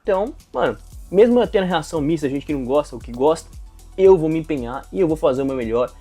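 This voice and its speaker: A young man from Brazil talks straight to his audience in a loose, slangy rant, cursing freely. His voice sits a little high but sounds warm and mellow. Soft music plays faintly behind him. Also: quick pace, husky. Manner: slangy, profane, animated venting. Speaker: aspiring actor vlogger. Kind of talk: casual talk to camera.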